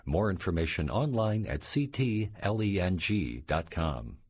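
Sponsor read: very deep, husky male voice, lively intonation, slightly dull sound. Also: measured pace. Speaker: sponsor announcer. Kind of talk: scripted underwriting spot.

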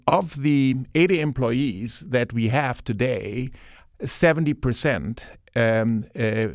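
A middle-aged man speaks earnestly on a discussion panel. He thinks aloud, with plenty of ums and restarts, at a mid pitch. The sound is close and dry. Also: dry recording. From Germany. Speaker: panel guest, manufacturing advocate.

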